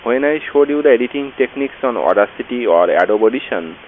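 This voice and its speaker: A man's voice: medium pitch, animated, fast, narrow, tinny audio. A man reading a scripted lecture as a tutorial narrator, played as a sample with vintage vinyl effects. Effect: vintage radio filter with vinyl crackle. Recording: faint hiss and record crackle.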